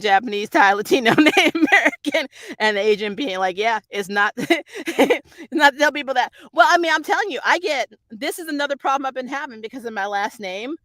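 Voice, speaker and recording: A woman's voice: fairly high pitch, fairly bright tone, dry recording, clean studio sound. A middle-aged woman chatting casually and off the cuff as a review host, rambling and candid, swearing freely and often chuckling. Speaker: host of a TV and film review channel; actor who auditions. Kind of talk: casual unscripted livestream chat.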